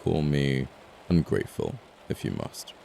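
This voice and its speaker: An extremely low, dark-toned male murderer played by a man in an audio roleplay. He is amoral and flirtatious, menacing his listener with sardonic politeness. His delivery is slow to rise and deliberate, with a gravelly edge. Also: big pitch swings, slight background noise, exaggerated voice. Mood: bitter, defiant. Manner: smooth-talking, sardonic, deliberate threats with pauses. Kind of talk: ASMR audio roleplay acting.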